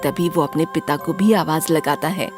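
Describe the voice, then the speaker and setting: A woman narrating a scripted horror story in a dramatic, deliberate storytelling manner. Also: deep voice, over music.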